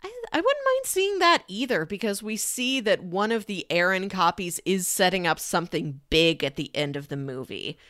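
Woman, slightly low pitch, dry close sound, casual film discussion, thinks aloud with questions. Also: very animated, normal speed, hardly any pauses, a bit bright, no room sound. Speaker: film podcast co-host.

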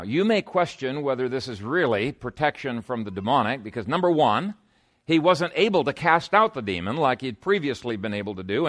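A male pastor delivers a sermon in a somewhat high voice. He speaks earnestly and with emphasis, moving between storytelling and explanation of scripture, and puts rhetorical questions to his listeners. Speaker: pastor preaching.

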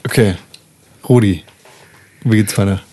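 A man's voice: fairly low pitch, unhurried, warm tone. A man casually planning story scenes with the other players in a tabletop role-playing session, steering the game.

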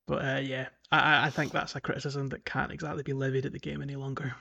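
A man's voice: medium pitch, little pitch movement, fast talker, gravelly, bright, forceful tone. A man co-hosting a podcast in casual gaming chat, often repeating words as he thinks and chuckling as he goes. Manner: chuckles often while talking. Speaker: podcast co-host. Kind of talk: casual chat about a video game.

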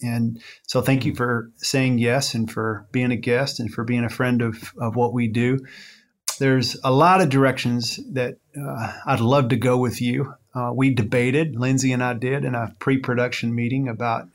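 Man, podcast co-host, loose friendly talk, many fillers, middle pitch. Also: steady tone, moderate pace. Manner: warm-hearted, loose, with many fillers and restarts. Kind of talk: podcast introduction and interview.

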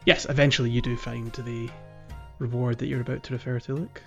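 A man's voice: mid-range voice, flat delivery, brisk, gravelly, a bit bright. A man co-hosting a podcast, chatting casually about a video game in a hesitant, conversational way. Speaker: podcast co-host. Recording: dull lo-fi audio, music behind. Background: music.

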